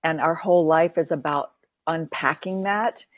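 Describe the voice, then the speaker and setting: Low-voiced earnest woman counselor. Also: moderate pace, dry recording. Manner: earnest, repeats phrases while building a point. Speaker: counselor and podcast guest. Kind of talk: podcast interview.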